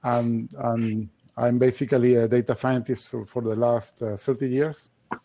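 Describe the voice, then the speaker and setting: Gravelly older man. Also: mid-range voice, flat delivery, warm tone, no room sound. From Chile. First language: Spanish. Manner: measured, with self-deprecating humor. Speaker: data science program director. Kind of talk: panel introduction. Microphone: video call.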